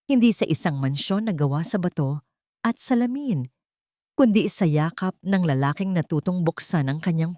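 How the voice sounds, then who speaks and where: A woman with a slightly low voice reads a romantic tale as a storyteller. Her delivery is sentimental and dramatic, and the sound is dry and close. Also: a little mellow, no room sound. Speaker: story narrator. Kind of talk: scripted romantic story narration.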